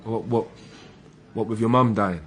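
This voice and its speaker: A man's voice: lowish voice, measured pace, natural voice. An actor with a British accent playing a mild, earnest young policeman, conciliatory and probing, in naturalistic radio drama dialogue. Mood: concerned, apologetic.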